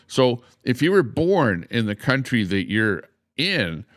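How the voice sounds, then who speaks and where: A man's voice: mid-range voice, very animated, slow pace, fairly bright tone, dry recording, clean studio sound. An older man hosting a livestream, explaining at length with frequent "uh"s and a repeated "okay?". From Canada. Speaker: livestream host. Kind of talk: casual livestream monologue.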